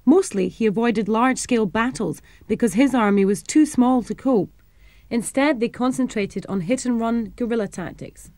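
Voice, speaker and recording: A woman's voice: fairly high pitch, normal speed, a little mellow. A Scottish woman presenting in the style of scripted educational television narration.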